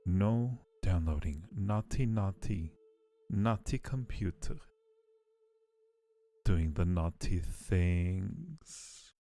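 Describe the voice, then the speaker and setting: Slow, low, mellow man chatting playfully. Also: lively intonation, gravelly. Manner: playful, teasing, affectionate with viewers. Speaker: live streamer hosting a chat. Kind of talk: casual livestream chat with viewers.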